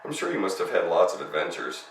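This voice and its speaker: A man voicing a kindly, gently fretting mother in a storybook. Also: sits low, wildly swooping pitch, fast, roomy sound, far from the mic, a little hiss or hum, low-quality recording.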